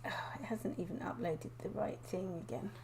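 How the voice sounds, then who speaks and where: Croaky, giggly woman live-streaming. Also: medium pitch, normal speed, a little mellow, a little hiss or hum. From England. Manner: chatty, giggly, greets viewers by name. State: croaky, sniffly with a cold. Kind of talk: casual live-stream chat. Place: home desk indoors.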